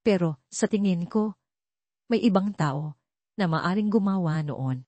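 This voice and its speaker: A woman's voice: fairly low pitch, expressive, measured pace, mellow, no echo. A woman voicing a polite, probing female police detective in an audio drama, formal and matter-of-fact. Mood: measured. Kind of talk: audio drama storybook reading.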